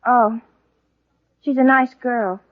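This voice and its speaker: Through a muffled vintage radio recording comes a teenage girl with a high voice. She is coy and well-mannered, performed in the style of 1940s radio comedy. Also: fairly even intonation, takes it slowly. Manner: coy, polite.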